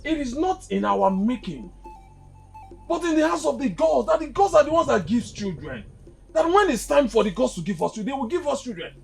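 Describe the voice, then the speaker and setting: A man's voice: extremely high pitch, creaky, heavily acted. A man playing an exasperated young husband. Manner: pleading, exasperated. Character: agitated young husband complaining to his father.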